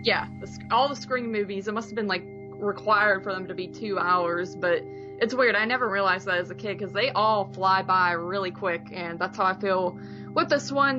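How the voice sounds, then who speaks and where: A woman co-hosting a podcast, chatting casually about movies in a conversational way with softened opinions. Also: mid-range voice, muffled recording. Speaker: podcast co-host. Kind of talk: casual movie review chat.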